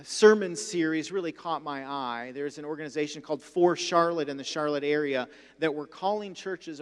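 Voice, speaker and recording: A male pastor introducing a guest speaker in a measured way, pausing on "uh". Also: fairly high pitch, clear, resonant tone. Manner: measured, with "uh" fillers. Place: church sanctuary. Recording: long hall reverb.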